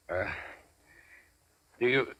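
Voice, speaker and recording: A man's voice: mid-range voice, creaky, fairly bright tone, breathy edge, dry recording, dull audio, exaggerated voice. An actor playing a loyal, wry, slightly nervy henchman in 1940s radio crime drama, on a noisy old recording.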